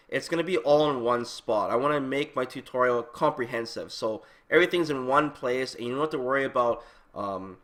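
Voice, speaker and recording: A man's voice: medium pitch, a bit bright. A man hosting a video game channel, giving an update in an informal, conversational way, speaking directly to his viewers. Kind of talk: casual channel update talk.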